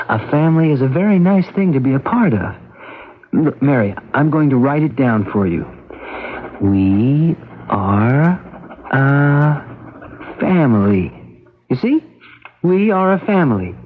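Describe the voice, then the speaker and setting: An actor playing a patient, worried brother who explains gently and carefully in a radio drama. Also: male voice, mid-range voice, very animated, slow, long pauses, slightly rough, heavily acted. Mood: patient, earnest. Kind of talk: 1970s radio drama acting. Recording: dull lo-fi radio broadcast recording.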